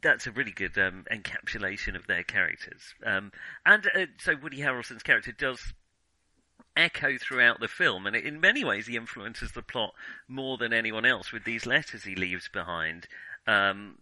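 A man's voice: fairly low pitch, animated, moderate pace, pushed and bright, no echo, low-quality recording. A man co-hosting a film podcast, talking casually and hesitantly, qualifying his points as he thinks aloud.

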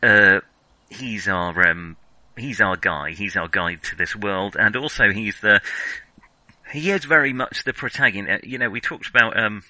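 A man co-hosting a casual film discussion, analytical and measured, often breaking off into hesitant asides. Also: fairly low pitch, sharp tone, no echo, low-quality recording. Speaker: film podcast co-host.

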